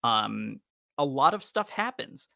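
Creaky, bright-voiced man chatting on a podcast. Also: medium pitch, sing-song, slow, dry recording, clean studio sound. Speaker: podcast host. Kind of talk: casual podcast chat.

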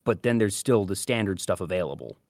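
Lower-voiced man in a dry-sounding podcast chat. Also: expressive, a little fast, darkish tone, no echo. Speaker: co-host. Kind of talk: casual podcast discussion.

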